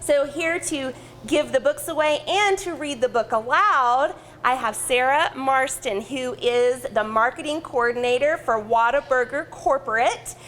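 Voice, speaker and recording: A woman's voice: high voice, a bit bright, a little hiss or hum. An American woman hosting a live school event, introducing a guest reader to schoolchildren.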